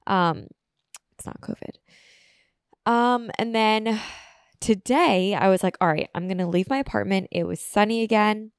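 A woman's voice: mid-range voice, unhurried, pauses often, clean tone, no echo, studio quality. A woman in her twenties hosting her own podcast alone, rambling casually with lots of fillers, a little sniffly from a cold. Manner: rambling, chatty, filler-heavy. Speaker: podcast host. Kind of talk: casual solo podcast chat.

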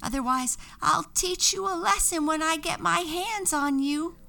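A woman's voice: extremely high pitch, a little slow, creaky, pushed and bright, voice pushed up, full character voice. A woman voicing a furious, jealous young witch girl who screeches and scolds in a storybook reading.

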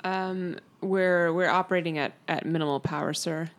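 A hesitant chief engineer gives her report in a lowish voice, played by a woman at the table. She stumbles through fillers as she speaks. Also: fairly even intonation, moderate pace, close to an everyday voice. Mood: dutiful.

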